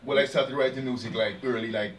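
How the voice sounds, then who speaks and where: A man's voice: medium pitch, steady tone, quick pace, a little hiss or hum. A man from Dominica with a Jamaican-influenced Caribbean accent, a dancehall artist talking casually in an interview and often asking "you understand?". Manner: laid-back, repeats "you understand", dialect speech. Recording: roomy sound, distant mic, faint ambience.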